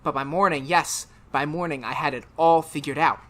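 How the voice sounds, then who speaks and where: Woman playing a tormented man in radio horror, low voice. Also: lightly acted. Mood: uneasy reasoning. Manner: melodramatic, halting, emphatic repetitions. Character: tormented man haunted by visions, Darrell, unravelling into horror. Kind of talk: 1930s radio horror drama monologue.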